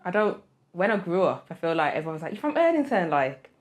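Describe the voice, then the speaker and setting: Young brummie woman, low voice, easygoing chatty guest, laughs a lot. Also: quick pace, lo-fi sound. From Birmingham, England. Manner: relaxed, chatty, laughs often. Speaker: podcast guest. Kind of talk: casual interview chat.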